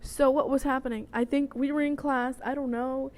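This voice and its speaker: A woman's voice: high voice, little pitch movement, normal speed, darkish tone. A woman in her twenties telling a personal story in a casual, chatty way, wandering into tangents and often checking in with the listener. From El Salvador. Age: 20s. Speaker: vlogger telling personal stories. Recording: noticeable noise, homemade vlog audio.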